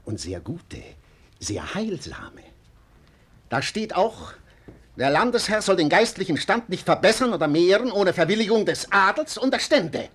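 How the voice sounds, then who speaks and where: An actor playing a sly, pedantic agitator in a theatrical 1940s radio play, lecturing and insistent. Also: male voice, high voice, sing-song, a little slow, a bit bright, slightly rough, full character voice. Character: rabble-rousing clerk, sly, pedantic and agitating.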